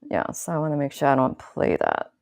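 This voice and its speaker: A woman hosting her own channel, chatting casually to viewers in a slow, thoughtful way with many fillers and restarts. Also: a bass voice, huge pitch swings, gravelly, a little mellow, no echo, clean studio sound. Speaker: channel host. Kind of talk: casual live-stream talk to viewers.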